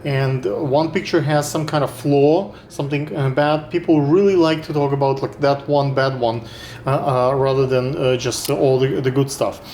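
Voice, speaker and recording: A man's voice: mid-range voice, fairly even intonation, moderate pace, a little mellow. A man hosting a casual interview who asks long, rambling questions and often breaks off to restart them. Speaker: interviewer, host. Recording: echoey room with faint noise.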